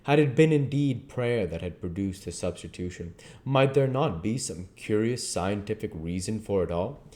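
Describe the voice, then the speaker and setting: A man reading literary fiction aloud in a deliberate, theatrical way. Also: fairly low pitch, animated, a little mellow. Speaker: reader, channel host. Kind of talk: literary audiobook-style reading.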